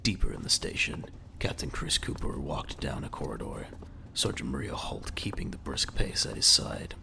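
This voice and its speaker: A man's voice: extremely low pitch, huge pitch swings, moderate pace, vocal fry, background noise. A man narrating an audio drama from a script in a dramatic storytelling style.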